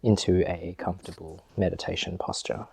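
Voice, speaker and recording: A man leading a guided meditation as a teacher, speaking gently and in a measured way with long pauses. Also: low voice, flat delivery, vocal fry, husky.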